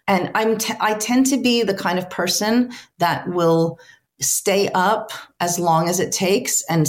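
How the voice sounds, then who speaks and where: A woman whose first language is Ukrainian, answering interview questions as a translator, earnest and restarting often as she searches for words. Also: lowish voice. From United States, Ukrainian family.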